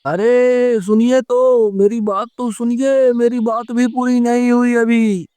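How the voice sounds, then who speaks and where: A man's voice: very high voice, level tone, slow pace, mellow, smooth, no room sound. A man playing a worried village politician in a horror cartoon, fretting and questioning as he goes. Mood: urgent, fretful. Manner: fretful, muttering questions to himself. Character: worried male village politician searching for missing guest. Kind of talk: Hindi horror cartoon voice acting.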